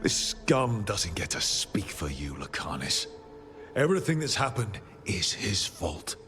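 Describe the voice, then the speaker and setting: An actor playing a steadfast, reassuring heroic companion and leader in a video game, earnest and persuasive. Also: male voice, lowish voice, sing-song, measured pace, bright, forceful tone, exaggerated voice. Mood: firm, urging. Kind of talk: dramatic video game acting. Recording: music behind the voice.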